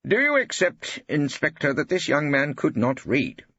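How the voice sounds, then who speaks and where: A man acts out an impatient male judge from an audiobook, raised well above natural pitch. The questions come out testy and peremptory, with a husky edge. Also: very animated, moderate pace, bright, forceful tone, no echo, muffled recording, full character voice.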